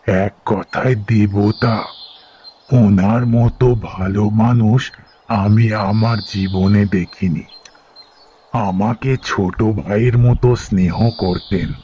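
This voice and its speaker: Audio drama role, male actor: middle-aged devout father, low-pitched, deliberate and affectionate, very slow delivery, dull noisy recording. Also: mellow. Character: affectionate, devout village priest, father of a girl. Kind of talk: audio story character acting.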